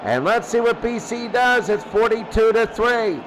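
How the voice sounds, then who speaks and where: Male sportscaster, high voice, live football play-by-play, clipped calls, noisy recording. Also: unhurried. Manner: clipped, present-tense calls. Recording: loud noise behind the voice.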